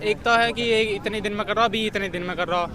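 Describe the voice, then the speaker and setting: A man's voice: sits high, steady tone, fast. A young man, an exam candidate informally recapping a paper and often rephrasing himself. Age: young adult. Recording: busy outdoor street, crowd and traffic noise.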